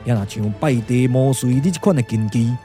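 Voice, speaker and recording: A man with a Taiwanese accent voicing a solemn, calculating sage strategist in a puppet radio drama, speaking slowly, deliberately and persuasively. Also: medium pitch, warm tone, faint music behind, close to an everyday voice. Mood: grave warning. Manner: slow, deliberate, weighty delivery.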